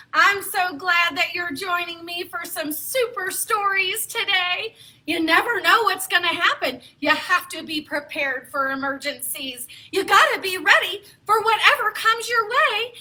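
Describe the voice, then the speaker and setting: A woman hosting a children's storytime, reading aloud in an exaggerated, playful, theatrical way. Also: very high voice. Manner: exaggerated, playful, expressive delivery. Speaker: children's storytime host and reader.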